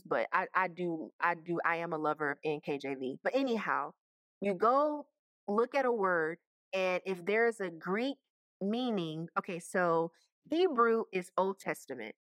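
A woman's voice: lowish voice, a bit bright, no room sound. A woman giving a casual Bible teaching, talking conversationally and checking in with her listeners. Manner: conversational, keeps checking in with "okay?" and "right?".

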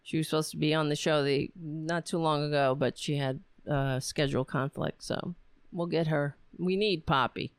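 A woman's voice: low-pitched, steady tone, moderate pace, clean tone, no room sound. A woman hosting her own podcast, tired and losing her voice, rambling casually through her sign-off. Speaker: podcast host. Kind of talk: casual solo sign-off chat.

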